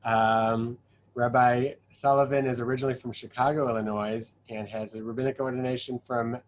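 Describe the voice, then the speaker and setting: A man hosts an interview and introduces his guest in a friendly way. He often hesitates with fillers and restarts as he goes. His pitch sits in a middle range. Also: little pitch movement, moderate pace, slight background noise. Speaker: interview host. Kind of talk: hosting an interview.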